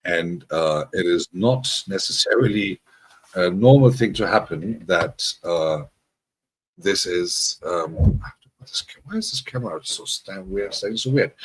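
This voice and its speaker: A man's voice: deep voice, lively intonation, unhurried, breathy edge, rough recording. A man from Germany, hosting a casual livestream chat and rambling with many restarts and self-deprecating asides. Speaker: livestream host.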